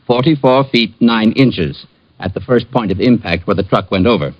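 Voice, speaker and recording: A man's voice: lowish voice, moderate pace, no room sound, close to an everyday voice. A man playing a pedantic, humourless police sergeant in an old-time radio drama, stiff and precise. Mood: precise, matter-of-fact. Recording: dull, dry vintage radio recording.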